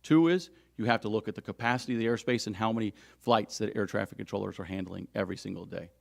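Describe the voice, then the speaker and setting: A man speaking as a union leader at a press conference, emphatic but halting as he makes his points. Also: fairly low pitch, creaky.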